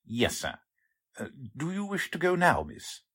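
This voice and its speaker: A man's voice: fairly high pitch, wildly swooping pitch, unhurried, fairly bright tone, exaggerated voice. A man playing an earnest, deferential warehouse clerk in 1940s radio drama style, polite and a little hesitant.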